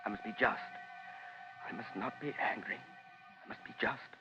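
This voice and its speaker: Radio drama: male actor as brooding, obsessive young man, intense, lower register, muffled vintage sound. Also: moderate pace, noisy background, lightly acted. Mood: brooding, obsessed. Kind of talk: 1950s radio acting.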